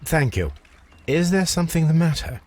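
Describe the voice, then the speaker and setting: An actor playing an eccentric, cerebral Victorian English gentleman detective in a video game, speaking formally with precise, dry wit. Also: on the high side, sing-song, normal speed. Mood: polite, inquiring. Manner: formal, precise, dryly witty.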